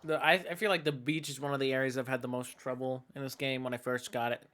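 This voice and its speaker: Medium-pitched man streaming a game. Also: little pitch movement, fast talker, a bit bright. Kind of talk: casual gameplay commentary. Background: game music.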